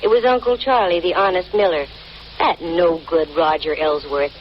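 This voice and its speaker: A woman acts a cool, wisecracking female private eye from a golden-age radio mystery. Her voice sits a little low. The sound is muffled vintage radio with faint noise. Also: steady tone, normal speed, lightly acted. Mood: dry, wry. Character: cool, flippant female private detective, unrepentant and wry. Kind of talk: old-time radio detective drama acting. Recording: dull, noisy vintage radio recording.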